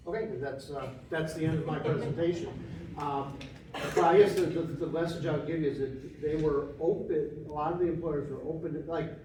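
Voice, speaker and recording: A man's voice: on the high side, fairly even intonation, brisk. A man presenting findings to a panel audience, in a conversational way with frequent restarts and fillers. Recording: echoey room, mic far off, faint noise.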